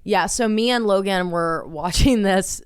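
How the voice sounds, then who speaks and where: A woman's voice: medium pitch, measured pace, smooth, dry recording, studio quality. A woman in her twenties co-hosting a podcast, telling stories in a rambling, casual way and swearing freely. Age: 20s. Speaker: podcast co-host. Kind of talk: casual chat.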